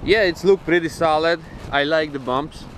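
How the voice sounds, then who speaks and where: A man with a Hungarian accent, giving hesitant, halting opinions on a kite outdoors. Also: fairly high pitch, fairly bright tone, slight background noise, low-quality recording. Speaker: kiteboarder testing kites. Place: beach outdoors.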